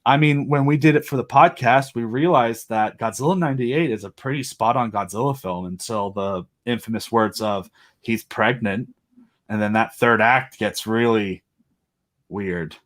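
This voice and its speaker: A man talking casually as a podcast guest, earnest and rambling as he thinks aloud. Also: medium pitch. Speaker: podcast guest, independent audio drama creator. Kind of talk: casual chat.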